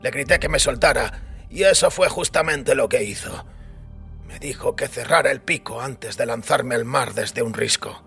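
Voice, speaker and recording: An actor playing a weary older priest who recounts a wild youth in a wry, reflective way, with a raspy voice. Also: male voice, medium pitch, normal speed, a bit bright. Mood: wry, reminiscing. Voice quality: raspy, gravelly. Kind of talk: dramatised audiobook acting. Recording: music bed under the voice.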